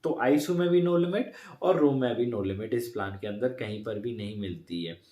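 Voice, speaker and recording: A man's voice: mid-range voice, normal speed, warm tone. Man explaining in an echoey room. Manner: chatty, repeats points, corrects himself mid-sentence. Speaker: insurance advisor vlogger. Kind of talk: casual explainer to camera.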